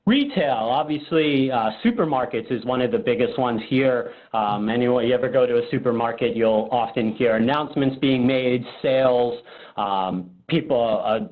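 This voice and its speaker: A man's voice: medium pitch, moderate pace. A man presenting a product webinar casually, informal and thinking aloud in a hesitant way. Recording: phone-like narrow-band webinar audio.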